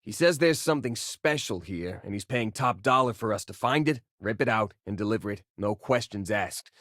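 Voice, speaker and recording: Easygoing space miner, close dry sound. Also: male voice, on the low side, animated, normal speed, fairly bright tone, no room sound. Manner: casual, folksy, joking. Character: friendly wisecracking young male space miner and loyal crewmate. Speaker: companion character in a sci-fi video game. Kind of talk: video game voice lines.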